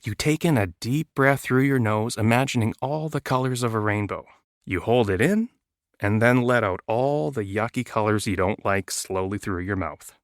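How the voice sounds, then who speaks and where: A man's voice: fairly low pitch, normal speed, dry recording, studio quality, natural voice. A man voicing an earnest, childlike little male lion cub in a children's storybook. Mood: eager.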